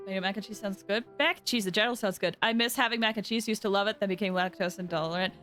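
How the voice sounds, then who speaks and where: A woman's voice: medium pitch, steady tone, fast talker, fairly bright tone, faint music behind. A woman chatting casually and playfully as she comments on a game live, often repeating herself. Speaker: game streamer.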